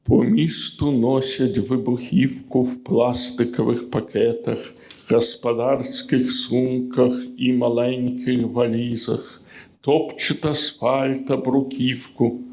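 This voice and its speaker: A man with a Russian accent, a poet reading his own verse aloud slowly and steadily at a live reading. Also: medium pitch, steady tone, gravelly, a little mellow. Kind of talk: poetry reading. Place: gallery reading event.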